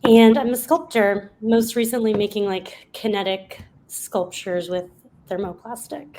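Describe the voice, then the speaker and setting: A woman's voice: medium pitch, steady tone, unhurried, mellow. A woman with a mid-range voice introduces herself casually as a panelist. She is on a video call with light noise behind her. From born in Brazil, grew up in the southern United States. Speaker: panelist, sculptor. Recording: faintly noisy video call.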